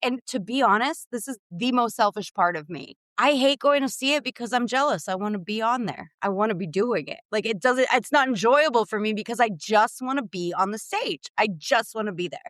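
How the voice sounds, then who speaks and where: A woman's voice: on the high side, expressive, a little fast, few pauses, fairly bright tone, no echo, clean studio sound. A woman in her late thirties co-hosting a podcast, candid and casual, swearing now and then and trailing off. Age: late 30s. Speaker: podcast co-host, theater teacher. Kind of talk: casual chat.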